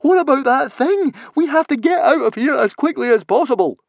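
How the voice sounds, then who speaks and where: A man's voice: very high-pitched, expressive, fairly quick, creaky, no echo, muffled recording, voice pushed up, heavily acted. A man voicing an anxious, sceptical young Viking boy in an audiobook, panting and exasperated. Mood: panicky.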